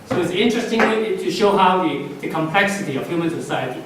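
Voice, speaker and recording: A middle-aged man, Cantonese by first language, speaks in a slightly high voice with halting phrases, many fillers and restarts. He is recorded from a distance in a strongly echoing conference hall, with faint noise behind him. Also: lively intonation. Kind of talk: unscripted closing remarks. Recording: echoey hall, far from the mic, faint noise.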